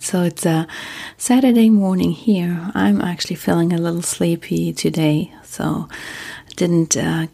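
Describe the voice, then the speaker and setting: A woman whose first language is German, talking softly and partly in a whisper, with frequent ums, as host of a sleep podcast. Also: sits low, creaky, husky. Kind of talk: soft-spoken casual monologue.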